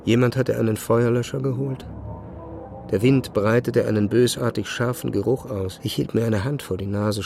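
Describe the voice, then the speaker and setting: An actor playing a troubled man who recounts his dark memories in measured, grave, suspenseful literary narration. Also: lowish voice, gravelly, a little hiss or hum. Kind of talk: literary first-person past-tense narration in audio drama.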